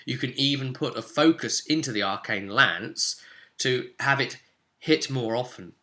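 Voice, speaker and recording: A man's voice: mid-range voice, unhurried, bright, forceful tone. A man giving a casual, chatty tutorial as a game guide, emphatic and conversational, often adding tag questions. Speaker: tabletop game guide presenter.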